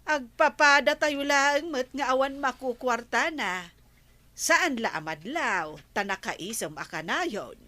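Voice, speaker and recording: A woman's voice: high-pitched, animated, slow, bright, forceful tone, no room sound, full character voice. A woman playing an exasperated, scolding village neighbour with an Ilocano accent in a Filipino radio comedy drama. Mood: nagging.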